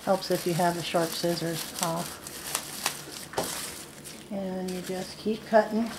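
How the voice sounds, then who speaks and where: A woman's voice: fairly low pitch, fairly even intonation, takes it slowly, noisy background. A middle-aged woman giving a casual, plain-spoken step-by-step demonstration at a table indoors. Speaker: farmer giving a how-to demonstration. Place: indoors at a wooden table in a home.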